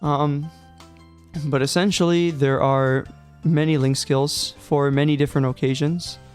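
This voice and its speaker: A man's voice: fairly high pitch, fairly even intonation, a little slow, smooth, soft background music. A young man narrating a casual game tutorial, chatty and joking, with loose fillers, a little sick. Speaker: gaming guide creator.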